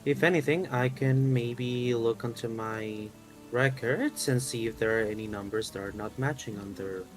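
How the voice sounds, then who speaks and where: A man's voice: medium pitch, normal speed. A man playing a tabletop game, chatting casually in a hesitant way with repeated words. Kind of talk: casual chat. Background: music.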